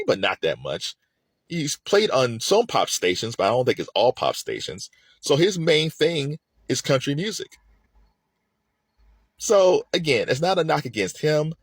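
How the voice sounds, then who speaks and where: A man's voice: medium pitch, dry recording. A middle-aged man giving opinionated, unscripted music commentary in an emphatic, conversational way.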